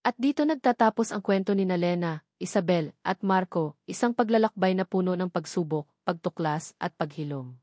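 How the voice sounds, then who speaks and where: A woman's voice: on the low side, animated, moderate pace, a little mellow, no room sound. Woman narrating a sentimental story, dry sound.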